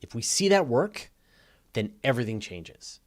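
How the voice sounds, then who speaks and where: A man's voice: mid-range voice, big pitch swings, moderate pace. A man hosting a live question-and-answer session, talking casually and explaining with emphatic stress on key words. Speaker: host of a live space Q&A.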